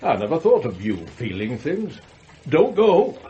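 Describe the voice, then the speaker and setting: A man's voice: mid-range voice, huge pitch swings, a little slow, muffled recording. An actor playing a wealthy middle-aged magnate in a radio play, savouring his words in rhapsodic, declamatory speeches. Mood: relaxed, indulgent. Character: wealthy theatrical male magnate, morbidly eloquent about his grim past. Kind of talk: radio drama acting.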